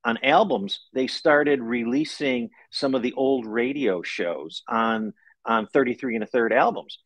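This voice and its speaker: An American man in his fifties speaks in a mid-pitched voice with very little room echo. He talks like an interview guest, recounting things in a conversational way. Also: a little slow. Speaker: guest author. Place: living room at home, indoors. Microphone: video call.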